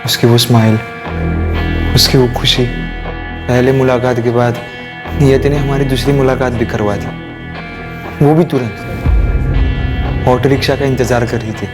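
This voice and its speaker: A man's voice: mid-range voice, steady tone, moderate pace, pauses often, music in the background, natural voice. An actor playing an obsessive, lovesick stalker in a TV crime drama, brooding and fixated in his delivery. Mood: brooding, infatuated. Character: obsessive lovesick male stalker, fatalistic and pleading. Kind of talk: Hindi TV crime drama acting.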